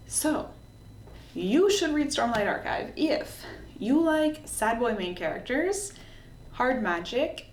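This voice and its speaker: A woman's voice: mid-range voice, a little mellow. A woman hosting a casual book review video, talking in a conversational, explanatory way. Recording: echoey room with faint background noise.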